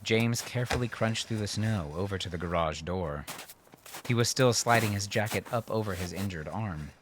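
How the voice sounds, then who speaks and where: A man narrating scripted fiction as an audiobook reader, in a measured, dramatic manner. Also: fairly low pitch, noisy background.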